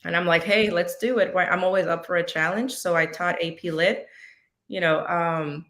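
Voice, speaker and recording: A woman's voice: lowish voice, steady tone, moderate pace, a bit bright. A woman in her fifties from Puerto Rico, a native Spanish speaker, chatting casually as an interview guest and animatedly acting out her own thoughts. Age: 50s. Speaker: guest, veteran teacher.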